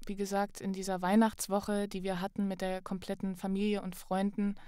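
A young adult woman speaking as a podcast guest in a casual, thoughtful, halting conversational way. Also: mid-range voice, flat delivery, warm tone, clean tone, dry recording, clean studio sound.

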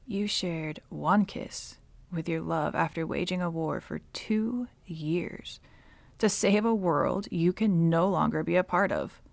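Low creaky voice, ancient villainess witch, wry, bitter, secretly tender, ASMR roleplay drama, acted by a woman. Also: dry recording. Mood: incredulous, angry. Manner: slow, sardonic, dropping often into breathy whispers. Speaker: audio roleplay voice actor.

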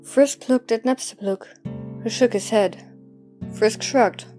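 A woman's voice: on the high side, slow, mellow, faint music behind. A woman reading scripted story narration as the narrator of an audio drama.

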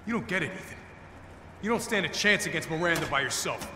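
A man's voice: on the high side, animated, fast, natural voice. A middle-aged man acting a hardened, weary military captain in a video game, terse and commanding. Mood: firm, explaining. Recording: echoey reverberant space, faint noise.

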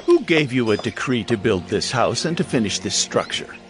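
A man's voice: medium pitch, big pitch swings, normal speed, close to an everyday voice. An actor playing an officious male provincial governor, formal and declamatory, in a dramatized scripture reading. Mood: demanding. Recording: music bed behind the voice.

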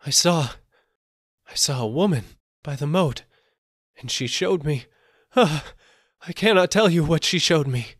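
A man reading aloud, voicing a kind old merchant who pleads softly with a weeping woman and later gasps in terror. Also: mid-range voice, big pitch swings, a little slow, long pauses, breathy edge, no room sound, clean studio sound, voice pushed up, heavily acted. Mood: terrified. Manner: pleading, then gasping in panic.

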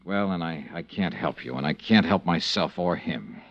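An actor playing a blunt, persistent, hard-boiled American investigator in 1950s radio detective style, with clipped, sardonic delivery. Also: male voice, low-pitched, moderate pace. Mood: frank, subdued. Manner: clipped, pressing questioning. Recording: muffled old radio broadcast recording.

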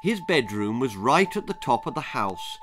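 A man voicing a bony old grandmother in a storybook, patiently and teasingly telling a ghost story in a slow, deliberate way. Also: mid-range voice, very animated, music in the background, natural voice. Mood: eerie, firm.

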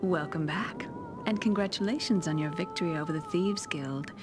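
A woman's voice: fairly low pitch, normal speed, music in the background, natural voice. An actress playing a welcoming townswoman in a video game. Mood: pleased.

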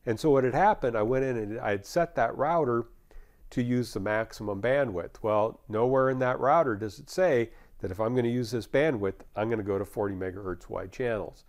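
An American man in his fifties working as a technical trainer, explaining a software demonstration step by step in a conversational way. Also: mid-range voice, fairly quick.

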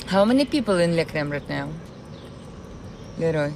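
A woman with a Ukrainian accent, live-streaming from a city park and chatting with her viewers in a halting way, often searching for words. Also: low voice, animated, creaky, background noise. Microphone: phone.

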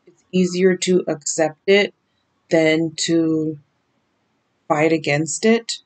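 Woman in her 30s, tarot reader, sleep-raspy voice, very slow deliberate delivery, camera at desk distance. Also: low voice, steady tone, a little mellow. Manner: slow, emphatic, drawn-out warnings. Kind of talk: slow intuitive card reading to camera. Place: small decorated bedroom indoors.

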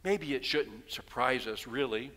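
Bright-voiced older pastor preaching in church. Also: male voice, medium pitch, unhurried. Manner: storytelling preacher, rhetorical questions, voicing townspeople. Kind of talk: sermon. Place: large church sanctuary, at pulpit. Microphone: headset mic. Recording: long reverb of a church hall.

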